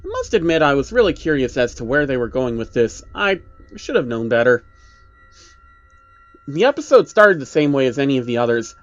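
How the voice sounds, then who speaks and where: A man with a mid-pitched voice narrates a creepy story in the first person. His intonation moves around in a lively way. The recording is a little dull, with music behind him. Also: no echo. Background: background music.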